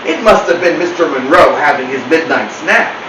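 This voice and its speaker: A man voicing a gullible, good-natured family dog in an expressive way. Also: on the high side, normal speed, close to an everyday voice. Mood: puzzled. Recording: roomy, distant mic, clear hum.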